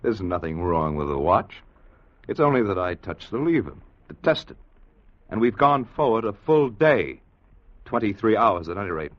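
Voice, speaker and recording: An assured scientist in an old radio play. He speaks low, with wry confidence, and the vintage recording is slightly dull. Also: sing-song, normal speed. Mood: triumphant. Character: confident, dry-witted male scientist inventor. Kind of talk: 1950s radio drama acting.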